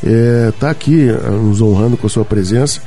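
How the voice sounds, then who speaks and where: A man's voice: mid-range voice, moderate pace, warm tone. A man hosting a radio talk show, asking a guest questions with chatty, enthusiastic interjections. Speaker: radio host. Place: radio studio.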